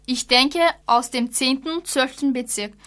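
A woman's voice: high-pitched, measured pace, bright, forceful tone. Teenage schoolgirl giving short answers. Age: teens. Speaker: student. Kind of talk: scripted radio dialogue.

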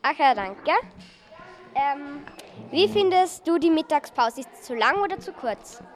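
A woman's voice: sits high, lively intonation, measured pace. A young girl, working through questions as a radio interviewer. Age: child, about 8 to 12.

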